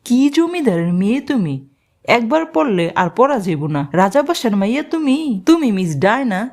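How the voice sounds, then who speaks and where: A woman's voice: fairly high pitch, very animated, moderate pace. A woman voicing a hot-tempered, sarcastic mother in a cartoon, nagging her daughter. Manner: nagging, sarcastic, shouting rebukes. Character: hot-tempered scolding Bangladeshi mother, middle-aged. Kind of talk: cartoon voice acting.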